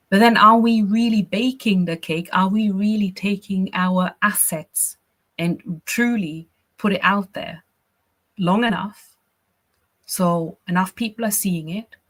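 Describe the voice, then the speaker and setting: A woman from Austria, a business coach teaching a live workshop in a chatty, encouraging way, often checking in with "right?" and "you know". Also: medium pitch.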